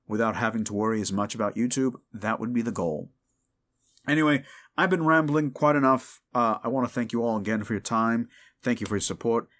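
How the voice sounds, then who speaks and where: A man hosting his audio roleplay channel, giving a casual, rambling update in an informal, conversational way. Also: medium pitch. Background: instrumental music.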